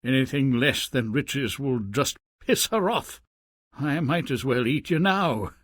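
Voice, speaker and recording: Casting: henpecked fisherman for a storybook game, slow and worn, sardonic grovelling, husky male actor. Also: medium pitch, dry recording, clean studio sound, lightly acted. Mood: weary, resigned. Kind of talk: video game fairy-tale voice acting.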